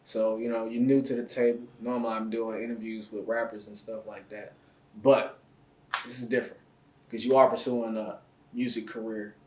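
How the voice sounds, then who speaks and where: A man's voice: fairly low pitch, normal speed. Hyped host man in a roomy room. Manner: loud hyped opener, then relaxed street slang. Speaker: interview show host. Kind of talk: casual hip-hop interview intro and questions. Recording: roomy, slightly distant mic with faint noise.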